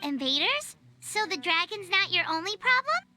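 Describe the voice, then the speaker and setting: A woman voicing a small, excitable, childlike fairy companion in a video game, with a squeaky voice. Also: normal speed, heavily acted. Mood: surprised. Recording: game music behind the voice.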